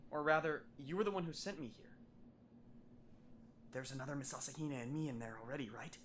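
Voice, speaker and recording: A man's voice: medium pitch, quick pace, fairly bright tone, a little hiss or hum, natural voice. Man voicing a wry, earnest anime schoolboy. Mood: apologetic, explaining. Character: wry, earnest teenage schoolboy time traveler. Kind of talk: anime fan dub acting.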